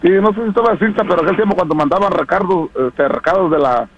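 Man, caller, husky gravelly voice, rambling with fillers, dull lo-fi phone sound. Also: high voice, quick pace. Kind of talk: phone-in greetings on radio.

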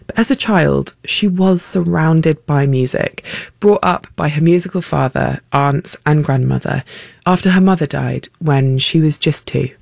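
A radio reporter narrating a scripted profile in a measured storytelling manner. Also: medium pitch, creaky, darkish tone, no echo.

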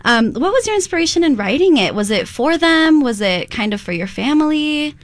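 A woman's voice: high-pitched, big pitch swings, moderate pace, dry recording. A woman co-hosting an interview who is enthusiastic and affirming, praising the guest as she asks her questions. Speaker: co-host. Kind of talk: casual interview chat.

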